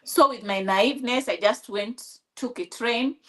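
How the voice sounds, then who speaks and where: A woman from Kenya with a Kenyan accent and Swahili as her first language, telling her story as an interview guest, halting with many restarts and acting out quoted dialogue. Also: on the high side. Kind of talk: personal storytelling in conversation.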